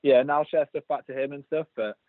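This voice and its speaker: A man's voice: on the high side, quick pace, no echo. A man with a Yorkshire accent, a guest chatting casually and easily in a remote interview, a bit self-deprecating. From England. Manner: easygoing, chatty, self-deprecating, many fillers. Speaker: guest, brewery designer. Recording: dry, phone-like line.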